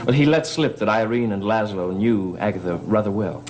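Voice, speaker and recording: An actor playing a calm, probing man in a gothic television soap, speaking in a measured, deliberate way. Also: on the low side, over music, natural voice.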